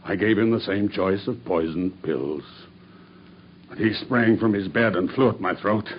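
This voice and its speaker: An actor playing a grim, vengeful murderer making a deliberate, matter-of-fact confession in a radio drama. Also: male voice, fairly low pitch, lightly acted. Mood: steady confession. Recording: dull radio drama recording with background noise.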